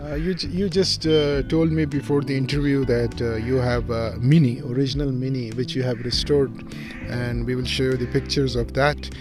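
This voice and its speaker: A man's voice: mid-range voice, fairly even intonation, normal speed. A man hosting an interview, who speaks hesitantly with many fillers. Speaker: host. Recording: music playing underneath.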